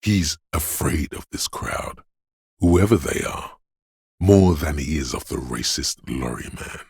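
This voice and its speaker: A menacing inner voice of fear and aggression from a video game. It is extremely low and gravelly, with a slow, brooding, theatrical delivery that weighs on every phrase. It addresses the listener directly, like a dark narrator urging violence. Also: male voice, no echo, clean studio sound, heavily acted. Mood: wary, ominous. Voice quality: very deep, gravelly, husky. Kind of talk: second-person dramatic video game narration.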